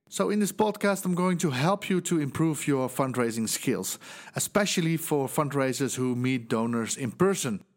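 This is a man from the Netherlands whose Dutch accent colours every sentence. He talks through a scripted solo podcast in an earnest, instructive way. His voice sits at a middle pitch, and his delivery stays measured and steady. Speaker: podcast host, fundraising trainer.

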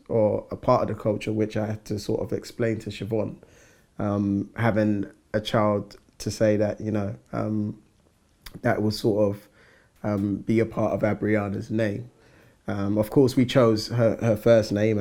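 A man speaks casually with a low, flat voice, pausing often with ums as he explains. The delivery is relaxed and unhurried. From southwest London. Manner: relaxed, hesitant, many ums. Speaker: married guest sharing his experience. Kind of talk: casual personal testimony.

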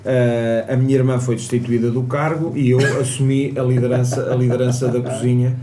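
A man's voice: medium pitch, flat delivery, fairly quick. A man with a European Portuguese accent telling kitchen stories in casual chat, with chuckles and asides. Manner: storytelling, chuckling, drifting into asides. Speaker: chef guest recounting childhood. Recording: echoey room with faint noise.

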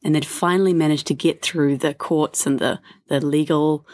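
A woman's voice: sits low, steady tone, normal speed, darkish tone, no room sound, studio quality. A woman co-hosting a travel podcast, telling stories in a chatty, easygoing way. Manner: chatty storytelling with laughs and fillers. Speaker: podcast co-host. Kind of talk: casual travel chat.

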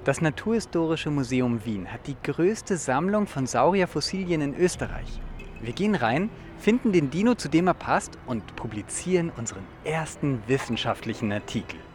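A man acting an eager, excitable young dinosaur enthusiast in a youth radio play, explaining things dramatically. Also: a little high, normal speed, a little hiss or hum. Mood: proud, eager. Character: eager, excitable young male dinosaur fan named Michel. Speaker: actor in an educational audio drama.

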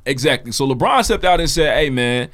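A man's voice: medium pitch, lively intonation, brisk, fairly bright tone. A man co-hosting a podcast, telling a news story in loose slang with plenty of swearing. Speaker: podcast co-host. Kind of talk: casual chat.

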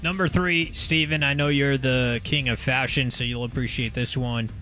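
A man's voice: mid-range voice, fairly even intonation, normal speed, sharp tone, faint music behind, poor audio. A man co-hosting a casual sports radio show, setting up topics and questions. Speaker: sports radio guest host. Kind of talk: casual sports talk, posing topics to a co-host.

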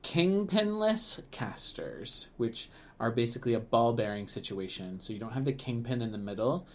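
A man's voice: mid-range voice, animated, normal speed, a little mellow. A man co-hosting a podcast in Canada, talking in a chatty, rambling way with lots of fillers, restarts and laughs. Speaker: podcast co-host, museum staff. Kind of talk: casual podcast chat.

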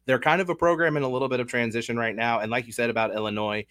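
A man with a head cold co-hosting a casual sports betting podcast, talking through picks in a measured, analytical way. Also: medium pitch, steady tone, fairly bright tone, clear, resonant tone, no echo. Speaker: podcast co-host.

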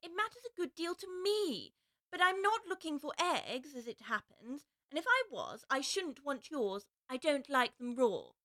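A woman's voice: high-pitched, big pitch swings, unhurried, sharp tone, heavily acted. A woman voicing a polite, puzzled little girl in a storybook reading, speaking in an earnest, politely protesting way.

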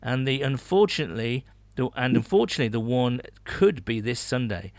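A man's voice: medium pitch, expressive, a little fast, a little mellow, no room sound. Casting: British man, sports podcast host, middle-register voice, informal and digressive, very dry recording. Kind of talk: casual sports podcast chat, reading out listener messages.

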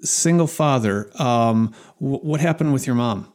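An interview host leads the talk with a middle-pitched voice. The questions come in a curious, unhurried way, following up on the guest's story. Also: darkish tone. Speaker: podcast host. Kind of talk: interview conversation.

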